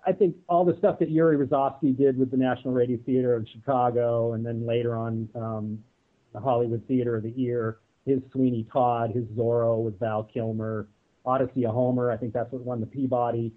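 A man speaks casually as an interview guest, with a mid-pitched voice. He is halting and reflective, and the sound is narrow like a telephone connection. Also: little pitch movement. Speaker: guest, audio drama producer. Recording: narrow-band phone-like line.

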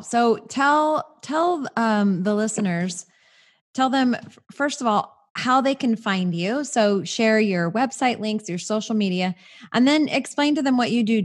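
Woman podcast host, encouraging, gives short affirmations. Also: a little high. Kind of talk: podcast interview.

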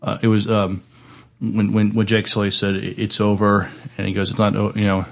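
Man, lowish pitch, heavy vocal fry, halting delivery, casual podcast panel film chat. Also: monotone, faint music behind. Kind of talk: casual film review discussion.

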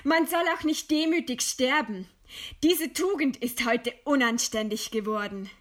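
A woman's voice: high-pitched, a little slow. An actress playing a proud, scornful woman intellectual defying her executioner in eloquent, theatrical radio play declamation. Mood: scornful, indignant.

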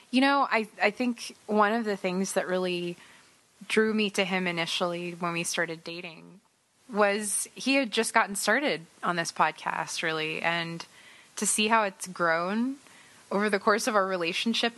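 A woman's voice: medium pitch, fairly bright tone. A woman guesting on a podcast, shy and hesitant, with many fillers and restarts. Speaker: guest, host's girlfriend. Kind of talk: casual podcast chat.

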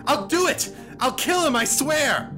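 A man's voice: very high-pitched, animated, a little slow, pitched above the performer's own voice. A man doing a desperate, furious young man. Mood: enraged. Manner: shouting, raw with anger. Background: background music.

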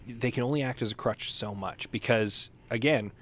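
A man with an average-pitched voice co-hosts a podcast. His delivery is casual and conversational, like someone chatting across a table. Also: normal speed, a bit bright. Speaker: podcast co-host. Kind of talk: casual chat.

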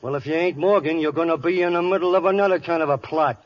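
An actor playing a tough-talking male gangster with a husky voice and slangy, menacing speech in a 1930s radio play. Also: a little high, fairly even intonation, fast, lo-fi sound, natural voice. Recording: scratchy 1930s radio broadcast.

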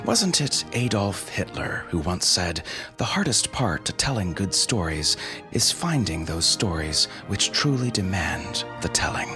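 Deep-voiced male podcast host, wry narration over music. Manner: wry, tongue-in-cheek. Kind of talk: scripted narration.

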